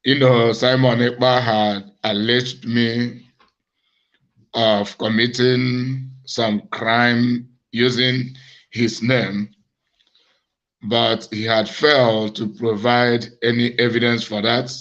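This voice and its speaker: A man, an evangelist hosting his own show, speaking slowly and deliberately to viewers in a hoarse, cracked voice from a cough. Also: mid-range voice, flat delivery, a bit bright. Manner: slow, deliberate, accusatory. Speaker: evangelist and host. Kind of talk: monologue address to viewers. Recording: dull lo-fi recording.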